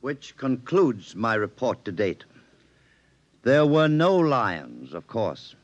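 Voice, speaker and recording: An actor playing a wary, wry doctor in a 1950s radio drama. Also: male voice, medium pitch, big pitch swings, takes it slowly, no room sound, highs cut off. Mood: grave. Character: wary, wry doctor, a nervous male family friend.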